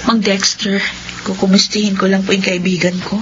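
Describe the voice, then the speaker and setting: A woman's voice: medium pitch, flat delivery, normal speed, faint music behind, natural voice. A woman playing a polite, sympathetic young friend in a radio drama. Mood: sympathetic, anxious. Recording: dull radio audio, faint noise.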